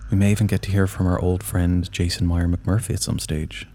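A man's voice: deep voice, flat delivery, brisk, vocal fry, dark tone, a little hiss or hum. A man playing a creepy but genial crypt-dwelling horror storyteller, speaking in a playful, ominous, theatrical way. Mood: playfully ominous. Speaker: horror anthology host. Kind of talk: theatrical spooky podcast introduction.

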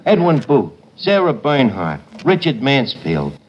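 An actor playing a brash, pretentious tavern manager, slangy and sardonic, mangling grammar in a 1950s radio comedy. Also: male voice, mid-range voice, wildly swooping pitch, measured pace. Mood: grand salesmanship. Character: brash, wisecracking tavern manager, pretentious malaprop-spouting man. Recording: muffled noisy old radio, studio audience.

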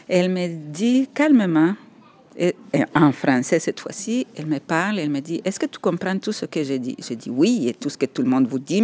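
A woman's voice: low-pitched, darkish tone, breathy edge. Woman recalling her past. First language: Spanish. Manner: reflective, with hesitations and laughs. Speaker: interview guest. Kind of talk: personal storytelling in a podcast interview.

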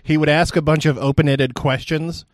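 A man's voice: fairly high pitch, steady tone, normal speed, dry recording. A man co-hosting a podcast with casual, wisecracking, sometimes profane banter. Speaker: podcast co-host.

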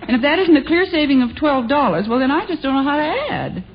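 Earnest, high-voiced sitcom housewife. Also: female voice, lively intonation, quick pace. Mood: insistent. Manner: earnest, insistent. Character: earnest suburban wife and mother, sweetly stubborn. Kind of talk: 1950s radio sitcom acting. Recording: dull, noisy vintage radio broadcast.

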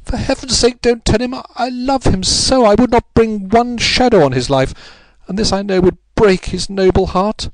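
Man acting a distraught, high-pitched English noblewoman. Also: expressive, no echo, natural voice, low-quality recording. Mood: frantic pleading. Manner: breathless, melodramatic, halting repetitions. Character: distraught, pleading upper-class married Englishwoman.

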